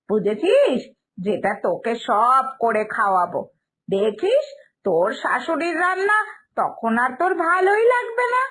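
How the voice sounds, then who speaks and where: A woman's voice: sits high, a bit bright, full character voice. A woman voicing a boastful, gossipy middle-aged aunt in a cartoon drama, chatty and catty, with a West Bengal accent.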